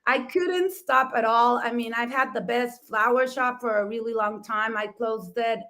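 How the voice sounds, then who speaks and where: A woman's voice: on the high side, normal speed, bright, forceful tone. Enthusiastic Mexican-accented woman, middle-aged. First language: Spanish. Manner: enthusiastic, laughs often, rephrases with "I mean". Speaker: florist and talk show co-host. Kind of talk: telling her life story in an interview.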